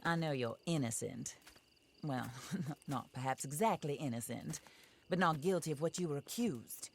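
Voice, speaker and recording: A genteel Western widow for a game cutscene, her voice notably low. She is composed, formal and drily polite, with a resolute, vengeful core. Night insects sound clearly behind her. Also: moderate pace, a little hiss or hum. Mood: wry, gracious. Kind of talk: video game cutscene acting.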